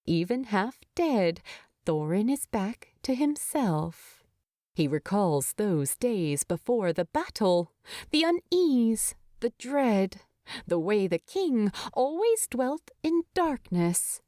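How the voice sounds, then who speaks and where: A woman reading a story as an audiobook narrator, expressive, with deliberate phrasing and dramatic pauses. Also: medium pitch, dry recording, clean studio sound.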